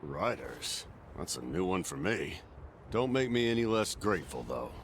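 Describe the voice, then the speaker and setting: An actor playing a hearty, warm-hearted old skipper in a video game, talking in folksy sailor phrases. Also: male voice, sits low, very animated, moderate pace, slight background noise. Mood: grateful, subdued. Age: older. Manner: folksy nautical drawl of phrasing. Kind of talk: video game cutscene acting.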